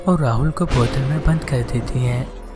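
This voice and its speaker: A man narrating a scripted cartoon horror story in an even, plain way. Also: medium pitch, moderate pace, over music.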